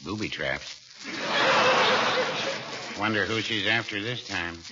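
An actor playing a mild, cautious old small-town American druggist with a hesitant, dry manner in 1940s radio comedy. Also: male voice, lowish voice, takes it slowly. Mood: wry muttering. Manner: hesitant, noncommittal, droll. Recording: very noisy, muffled old radio recording.

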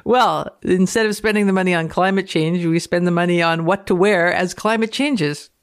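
A woman's voice: on the low side, normal speed, dry recording. A woman reading aloud dramatically as a podcast guest, with playful, wry casual banter. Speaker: podcast guest reader, physicist.

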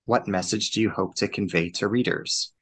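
A man hosting an interview podcast, formal and measured in tone. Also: fairly low pitch, fairly bright tone, husky, no room sound. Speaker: podcast host.

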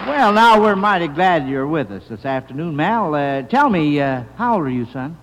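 A man's voice: fairly high pitch, very animated, moderate pace, a bit bright, a faint crowd. A man hosting a 1940s radio quiz, speaking to children in a folksy, paternal manner. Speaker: quiz show host. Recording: reverberant hall, vintage radio broadcast.

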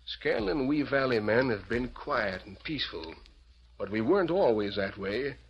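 Animated, folksy old frontier settler. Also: male voice, medium pitch, husky. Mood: firm, warning. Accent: American. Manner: earnest, measured, folksy. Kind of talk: old-time radio Western acting. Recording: muffled vintage radio recording.

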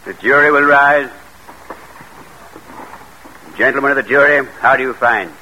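An actor playing a stern, authoritative judge in old-time radio drama, formal and declamatory. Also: male voice, mid-range voice, measured pace, background noise, close to an everyday voice.

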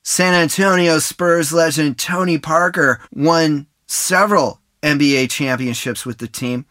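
A man's voice: on the high side, measured pace, pushed and bright, dry recording. Man narrating a sports podcast, dry studio. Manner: smooth read-aloud delivery. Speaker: podcast host. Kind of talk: scripted narration.

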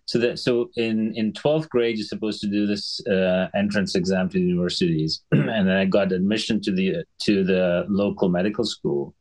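A middle-aged man from Sri Lanka with a deep, low voice, talking as a guest in a relaxed interview. He explains things in a measured, unhurried way and stops now and then to clear his throat. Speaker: guest physician. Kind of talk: conversational podcast interview.

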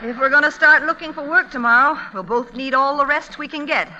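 A woman's voice: high-pitched, brisk, highs cut off, lightly acted. An actress playing a wry, outspoken young Ohio woman in a classic radio comedy. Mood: wry resignation. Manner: dry, outspoken, wisecracking. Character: wry, plucky young woman from Ohio, protective older sister.

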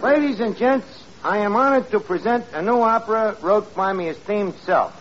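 Through a noisy old radio recording with a loud crowd comes a man playing a brash wise-guy bar manager. His high, swooping voice delivers bad grammar and grand pretensions in vintage comedy style. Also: moderate pace, lo-fi sound, natural voice. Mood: mock grand. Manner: wisecracking, mangles grammar and big words. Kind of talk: 1940s radio comedy acting.

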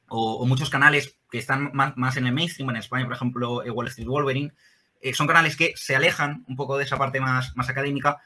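A man answers interview questions at length. He explains step by step, filling pauses with "eh". His tone is bright and forward at a middling pitch. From Spain. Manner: explanatory, many "eh" fillers. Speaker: guest, think-tank worker.

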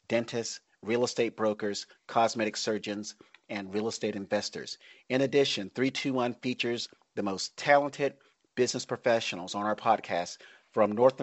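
A man's voice: medium pitch, dry recording. American man hosting a podcast, dry sound. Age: middle-aged. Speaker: podcast host. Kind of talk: podcast hosting, scripted intro and interview chat.

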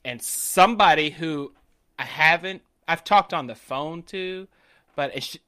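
A man's voice: fairly high pitch, a little slow. A man with a Southern accent, co-hosting a podcast, telling casual stories full of swearing and acted-out quotes. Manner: animated storyteller who acts out quotes. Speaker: podcast co-host. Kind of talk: casual profane chat.

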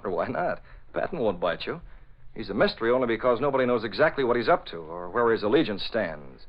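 An actor playing a confident young newspaper publisher, a brisk boss, in 1940s radio drama style. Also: male voice, on the low side, sing-song. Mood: easygoing, brisk. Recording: dull old radio recording.

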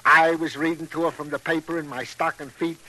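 High-voiced, plodding police sergeant. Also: male voice, level tone, brisk, sharp tone, poor audio. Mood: earnest, rambling. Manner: ungrammatical, roundabout, stilted phrasing. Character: earnest, plodding, simple-hearted police sergeant, long-winded. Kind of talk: 1950s radio crime drama acting.

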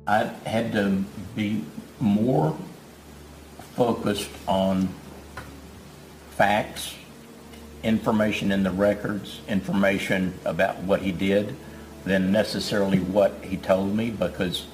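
Deep-voiced male expert witness. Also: steady tone, a little mellow, a little hiss or hum, poor audio. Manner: measured, hesitant, many fillers. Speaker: forensic psychologist witness. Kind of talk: courtroom testimony. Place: courtroom.

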